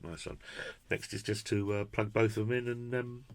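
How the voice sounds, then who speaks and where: A man's voice: lowish voice, little pitch movement, normal speed. A British man presenting a casual hands-on product review in a chatty, jokey, effusive way with quaint turns of phrase. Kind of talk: casual hands-on unboxing commentary.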